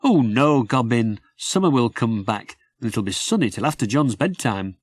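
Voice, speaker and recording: A man voicing a sensible, bossy girl rag doll, brisk and easily cross, in a children's storybook. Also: medium pitch, expressive, dry recording, clean studio sound, lightly acted.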